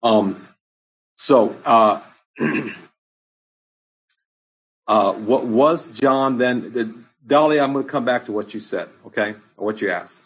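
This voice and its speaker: A man's voice: mid-range voice, slow, long pauses, fairly bright tone, slightly rough, poor audio. A man pastor answering congregation questions in a casual Bible study, talking conversationally with many ums and restarts.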